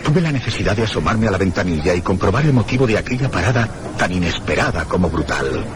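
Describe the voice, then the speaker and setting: An actor playing a reflective gentleman who narrates a mystery in a measured, literary radio drama style. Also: deep voice, lively intonation, background noise. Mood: reflective, grave. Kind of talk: scripted first-person radio drama narration.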